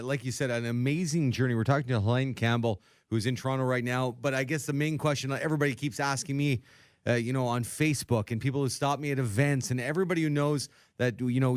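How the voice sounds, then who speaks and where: A man's voice: medium pitch, no room sound, studio quality. A man hosting a morning radio show, interviewing a guest and laughing along. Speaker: radio host. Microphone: studio mic.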